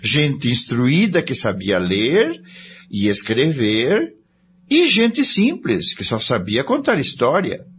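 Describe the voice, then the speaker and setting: A man narrates a religious script with emphatic, declamatory phrasing. His delivery is unhurried and his pitch moves widely. The recording is dull and lo-fi. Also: huge pitch swings, fairly bright tone. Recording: dull lo-fi audio with faint noise.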